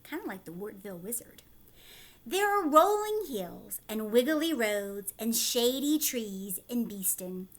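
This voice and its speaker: A woman reads a picture book aloud as a teacher would to young children. Her voice is high and swings widely in pitch. She breaks off for simple explanations and questions to the listener. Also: very animated, measured pace.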